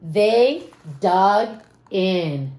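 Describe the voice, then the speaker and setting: A woman reading a children's story aloud expressively, with dramatic pauses and questions to her young listeners. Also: mid-range voice, lively intonation, dark tone. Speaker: storybook reader. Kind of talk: animated children's read-aloud with asides to listeners. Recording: roomy, echoing room sound.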